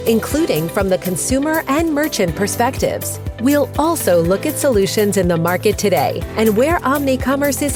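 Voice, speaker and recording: A woman announcer reads a polished series introduction. Her pitch moves a lot as she reads, and clear music plays under her voice. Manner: polished, expressive read. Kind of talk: scripted promotional narration.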